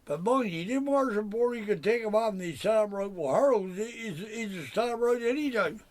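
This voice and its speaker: An older man with a broad West Country accent, telling a lively laughing story in a played clip. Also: high-pitched, brisk, crowd noise. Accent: West Country English. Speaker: interviewed villager in archive clip. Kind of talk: reminiscing storytelling.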